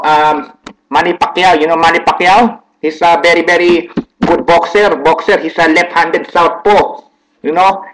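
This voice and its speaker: A man's voice: fairly high pitch, a bit bright, natural voice, low-quality recording. A man doing a thick Filipino accent. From Philippines. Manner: rambling, repeats words, wordplay on mishearings. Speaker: comedic vlogger. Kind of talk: rambling comedic monologue.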